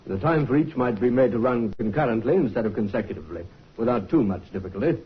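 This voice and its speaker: A calm police inspector presses his questions politely and keeps at them. His voice is very husky and breathy. The old broadcast sound is dull. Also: medium pitch, fast. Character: calm, probing male Scotland Yard inspector. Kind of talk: 1950s radio acting. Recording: dull vintage radio broadcast.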